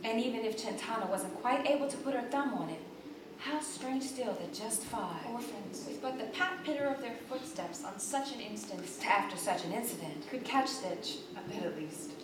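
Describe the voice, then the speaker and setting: High-voiced woman storyteller in echoing theater. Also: animated, moderate pace. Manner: dramatic, poetic delivery. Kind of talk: theatrical scripted narration. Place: live on stage in a theater. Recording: distant, echoey, noisy live theater recording.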